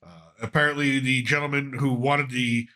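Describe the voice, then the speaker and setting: A man's voice: medium pitch, level tone, normal speed, pushed and bright. A man hosting a casual talk show, commenting on news in a loose, rambling way, and congested from a cold. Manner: loose, rambling, with frequent uh fillers. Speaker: podcast host.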